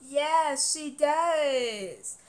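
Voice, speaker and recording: A woman voicing a sweet young girl who speaks softly and gently. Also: high voice, drawn-out words. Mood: gentle. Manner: soft, quiet, gentle.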